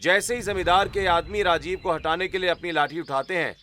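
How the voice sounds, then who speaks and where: A man's voice: high-pitched, fairly even intonation, a little fast, pushed and bright, slight background noise. A man narrating a scripted moral story as the storyteller.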